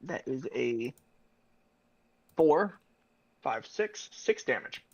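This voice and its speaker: A man's voice: mid-range voice, big pitch swings, drawn-out words, vocal fry. Man with dull lo-fi audio, tentative. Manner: tentative, working things out aloud. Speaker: tabletop game player. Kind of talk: casual game table talk.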